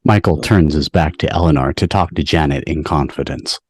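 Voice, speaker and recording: A man's voice: very deep voice, normal speed, slightly rough. A man reading stage directions as scripted narration for an amateur table read.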